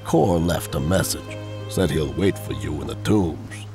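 A man acts a gruff old-timer in an audio drama. The voice is very low and creaky, with blunt, folksy phrasing. Prominent music plays behind it. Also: measured pace. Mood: wistful. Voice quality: gravelly. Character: gruff, plain-spoken old man, friend of a king.

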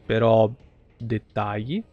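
A man's voice: fairly low pitch, slow pace, muffled recording. A man, giving casual, blunt and sweary live game commentary to his chat. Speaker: live streamer. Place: indoor room at home, streaming setup. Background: video game music.

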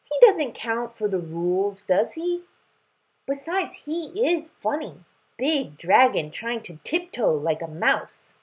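A woman's voice: a little high, very animated, slow, breathy edge, muffled recording, pitched above the performer's own voice, full character voice. A woman voicing a cheeky, teasing young lion cub in a children's storybook read-aloud, with a blunt childlike manner. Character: cheeky, giggly young male lion cub, stone statue.

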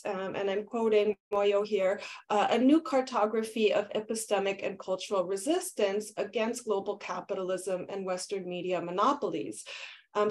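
A woman speaks through a video call from a small room, presenting a conference paper. Her delivery is measured and scholarly, full of small ums and uhs as she works through her ideas. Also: mid-range voice. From Canada. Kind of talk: academic conference talk.

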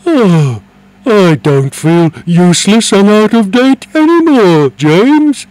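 A man reading aloud and voicing a gentle, lonely male dragon who speaks in a plaintive, wheedling way. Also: sits high, very animated, slow pace, voice pushed up, heavily acted, rough recording. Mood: excited.

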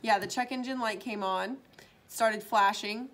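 A woman's voice: mid-range voice, steady tone, measured pace. A young woman in her twenties chatting casually to a phone held at arm's length as a travel vlogger, taking setbacks in stride. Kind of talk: casual road-trip vlog chat. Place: motel room, car and outdoors.

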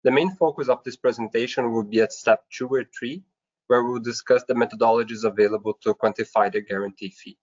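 A man's voice: mid-range voice, fairly even intonation, brisk. A man giving a formal, scripted slide presentation in a webinar, going through his points step by step. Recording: dull, lo-fi online webinar audio.

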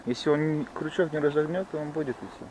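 A man's voice: a little high, fast talker. A man chatting casually as he films a fishing outing on a pond bank. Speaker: angler filming a fishing outing. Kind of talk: casual chat while fishing. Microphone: handheld camera. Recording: noisy outdoor recording, insect hum.

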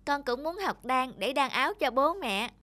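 A woman's voice: high-pitched, expressive, normal speed, sharp tone, no echo. A woman voicing a timid, dutiful young boy in a fairy-tale cartoon, polite and meek. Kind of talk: animated fairy-tale dubbing.

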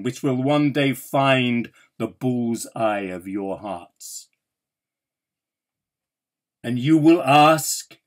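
A man, an actor, reciting a poem dramatically in a measured, theatrical way with emphatic pauses. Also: medium pitch.

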